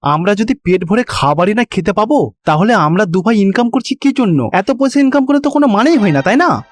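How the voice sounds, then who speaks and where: Casting: young Bengali brother for cartoon, male actor, high for a man, very lively, eager and chatty. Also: a little fast, no echo, clean studio sound. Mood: protesting. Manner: chatty, animated. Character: eager young Bengali son, good-natured. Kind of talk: moral-story cartoon voice acting.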